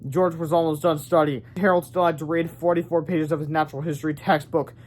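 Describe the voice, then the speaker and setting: A man's voice: fairly high pitch, little pitch movement, fast, darkish tone. A man narrating a children's storybook aloud as its reader.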